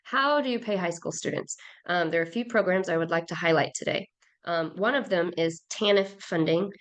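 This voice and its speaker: A hoarse woman, a program director, giving an upbeat webinar presentation over a video call, with many fillers and restarts. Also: lowish voice, normal speed.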